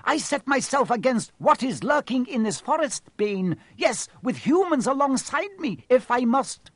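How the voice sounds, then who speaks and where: A man's voice: high voice, lively intonation, normal speed, no room sound, pitched above the performer's own voice. A man voicing a noble young male centaur, earnest and protective, who speaks in an urgent, solemn way. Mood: impassioned.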